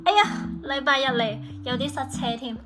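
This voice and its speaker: A woman narrating a travel vlog in a brisk, chatty tour-guide tone. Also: sits high, animated. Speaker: travel vlogger. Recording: voice-over with loud background music.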